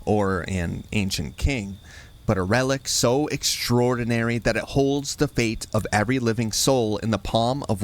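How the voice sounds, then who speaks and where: A man reading a scripted, spooky campfire-style introduction as host, in a measured and dramatic storytelling manner. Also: fairly low pitch.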